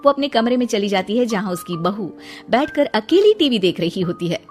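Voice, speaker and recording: A woman's voice: medium pitch, very animated, moderate pace, a little mellow, faint music behind. A woman narrator reading a scripted story in a dramatic storytelling style.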